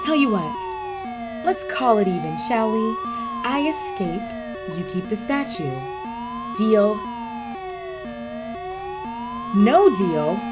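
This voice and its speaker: Woman acting a slow, teasing, flirty cat burglar villainess. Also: mid-range voice, huge pitch swings, loud music behind the voice. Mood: cheeky, bargaining. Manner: coy, teasing, drawn-out phrasing with terms of endearment. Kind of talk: roleplay audio drama addressed to the listener.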